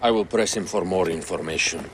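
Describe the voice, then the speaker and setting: A man's voice: sits low, fairly quick, a little hiss or hum, lightly acted. An actor playing a jovial, courtly warrior in a queen's service, with theatrical flourish, in a cinematic game. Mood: brisk, businesslike.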